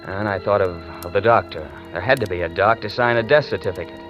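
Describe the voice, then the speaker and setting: Weary noir lead, male actor, lowish pitch, stubborn and slangy, old radio play with music behind. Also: fast talker, dull audio, lightly acted. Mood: wry, weary narration. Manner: slangy, wry, terse. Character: world-weary hard-boiled male investigator in love, stubborn. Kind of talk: 1940s radio drama acting, first-person narration.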